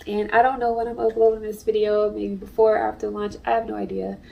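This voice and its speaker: A woman's voice: mid-range voice, level tone, normal speed, creaky, recorded from a distance, dull audio. A congested woman, an artist and small business owner, talking in a chatty, earnest way to camera in a casual vlog. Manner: chatty and earnest, many fillers. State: congested from allergies.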